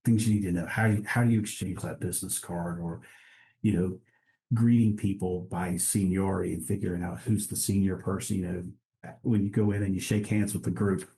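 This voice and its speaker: Low, mellow-voiced man talking casually. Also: fairly quick, poor audio. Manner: relaxed, many restarts, easy laughs. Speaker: guest, international trade director. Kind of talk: casual interview conversation.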